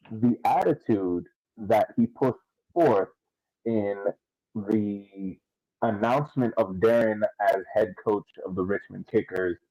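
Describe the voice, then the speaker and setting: A man with a soft-edged, mellow voice on the low side, close and dry. He debates sports casually as a panelist, working through his argument in halting stages. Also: no room sound, rough recording. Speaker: soccer podcast panelist.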